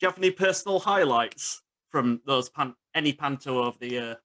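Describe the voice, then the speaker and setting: A man's voice: medium pitch, expressive, fairly quick, a bit bright. A man hosting a casual interview, putting questions to his guest in an informal, conversational way. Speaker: interviewer, host.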